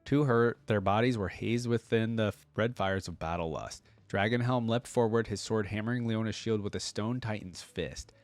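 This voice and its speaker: A man's voice: on the low side, normal speed, hardly any pauses, dry recording. A man hosting a reaction video, reading a story aloud and commenting in a casual, slangy way.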